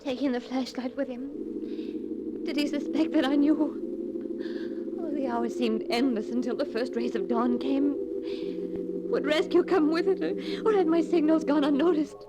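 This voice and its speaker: An actress playing a desperate, frightened young heiress in 1940s radio melodrama, breathless, halting and urgent. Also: female voice, high voice, fairly even intonation. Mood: anxious narrating. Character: terrified, desperate young heiress pleading and narrating her ordeal. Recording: noisy 1940s radio broadcast.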